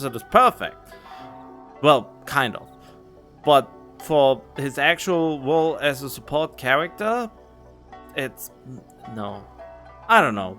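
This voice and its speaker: A man's voice: medium pitch, bright, forceful tone. A man giving casual gameplay commentary as a streamer, musing to himself in a rambling way. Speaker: game streamer.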